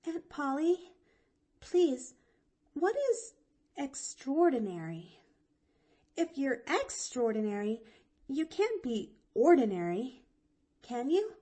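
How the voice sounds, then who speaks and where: Woman voicing a cheerful, talkative little girl. Lively, bright-toned pitch, unhurried, folksy drawl. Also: heavily acted. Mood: earnest. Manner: eager, chattering, folksy drawl. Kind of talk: audiobook reading.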